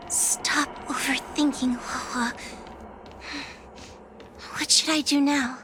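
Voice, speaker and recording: A woman's voice: high voice, steady tone, extremely slow, background noise. A woman voicing a timid, tearful young fox girl in an anime video game, speaking hesitantly and plaintively. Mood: frightened, confused. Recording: background music under the voice.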